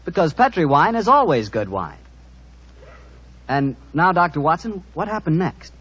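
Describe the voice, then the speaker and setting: Animated vintage radio announcer man. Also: on the high side, normal speed, rough recording. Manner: smooth-paced salesmanship, enthusiastic. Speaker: announcer and sponsor pitchman. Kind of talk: 1940s radio announcing, scripted sponsor read.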